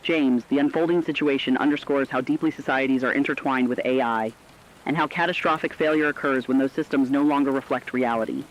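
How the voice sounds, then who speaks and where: A man playing a sober, urgent radio correspondent, delivering a formal, deliberate scripted report on societal collapse. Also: medium pitch, fairly even intonation, natural voice. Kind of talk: scripted dramatic news report. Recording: dull lo-fi radio sound.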